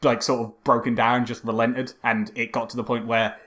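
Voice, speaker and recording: A man's voice: mid-range voice, level tone, normal speed, fairly bright tone. A man co-hosting a casual film podcast, joking and rambling as he goes with some hesitation. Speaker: podcast co-host.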